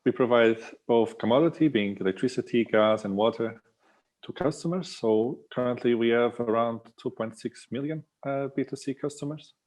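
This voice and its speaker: A male product manager speaks as a podcast guest at a middle pitch. His delivery is informative and conversational, in the manner of a business introduction. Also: normal speed, darkish tone.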